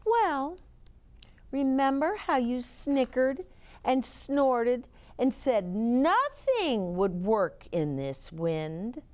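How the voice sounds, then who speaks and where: A woman voicing a confident, inventive young girl from a children's storybook, exclaiming and gently teasing. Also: sits high, sing-song, extremely slow, pitched above the performer's own voice, exaggerated voice.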